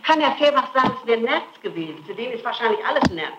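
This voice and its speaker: Insistent, halting woman on noisy old tape. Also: fairly high pitch, very animated, highs cut off. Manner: insistent, halting denials with repetitions. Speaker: suspect under interrogation. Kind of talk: answering questions in a recorded police interrogation. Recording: noisy 1960s archival tape.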